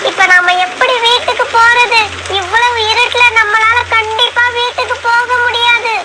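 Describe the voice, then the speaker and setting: Tiny, very high-pitched young bird. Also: female voice, fairly even intonation, moderate pace. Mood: worried. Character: small young bird, pleading, worried, sensible friend. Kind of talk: animated children's story voice acting. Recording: noisy track with loud rain ambience.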